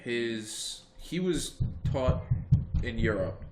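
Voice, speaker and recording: A man's voice: medium pitch, lively intonation, extremely slow. A young man co-hosting a podcast in casual chat, blunt and swearing offhandedly. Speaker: podcast co-host. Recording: echoey room with faint noise.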